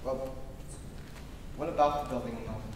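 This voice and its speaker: A man's voice: mid-range voice, steady tone, moderate pace, lightly acted. A man playing an earnest, frustrated young family man in a live radio play, emotional and theatrical. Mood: troubled. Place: on stage in a school auditorium. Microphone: stage mic, recorded from far camera. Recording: distant echoey auditorium, clear room noise.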